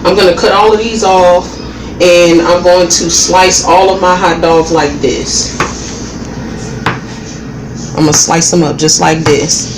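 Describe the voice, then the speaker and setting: A woman talks slowly and easily while she cooks, sniffling from a lingering cold. Her voice sits a little low. She is picked up from across an echoey home kitchen with clear clatter of pots around her. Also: fairly even intonation, distant mic, noisy background. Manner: chatty, laid-back, laughs often. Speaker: vlogger cooking at home. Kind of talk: casual cooking chat. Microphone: camera across the kitchen. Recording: roomy kitchen sound from off-mic.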